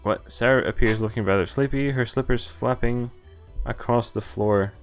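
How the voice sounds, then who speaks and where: A man reads a visual novel aloud on a stream, his voice sitting at an ordinary middle pitch. He stumbles over words and drops casual asides between lines of text. When the script calls for pain, he turns it into loud, exaggerated yelps. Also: moderate pace, soft background music.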